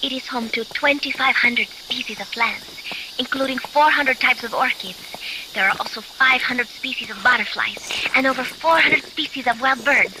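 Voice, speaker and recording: A woman acting as a gentle young guide in a video game, speaking in a high, soft voice. She explains carefully, with clear cricket and animal ambience behind her. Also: noisy background, lightly acted.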